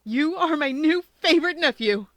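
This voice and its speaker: A woman's voice: high voice, expressive, normal speed, a bit bright, no room sound, voice pushed up. A woman voicing a sentimental, eccentric villain uncle with an earnest, doting manner, in a story reading. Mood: overjoyed.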